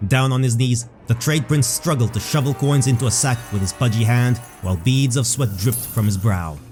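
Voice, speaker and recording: Casting: male storyteller, medium pitch, measured and dramatic scripted fantasy narration. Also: a little mellow, soft background music.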